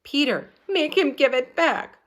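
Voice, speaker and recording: A woman's voice: high voice, lively intonation, a little slow, fairly bright tone, voice pushed up. A woman voicing a bossy, indignant schoolgirl from a children's storybook, protesting dramatically. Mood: shrieking, desperate.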